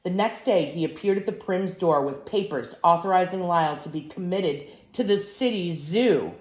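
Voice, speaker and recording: An older woman reading a children's storybook aloud as a grandmother would, in an expressive, emphatic way. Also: lowish voice, normal speed, roomy sound.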